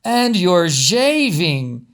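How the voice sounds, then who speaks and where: Man putting on a gushing, high-pitched small-town woman. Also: sing-song, fairly bright tone, exaggerated voice. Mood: gushing, delighted. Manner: exclamatory, drawn-out, fussing delivery. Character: gushing, effusive middle-aged small-town beautician woman.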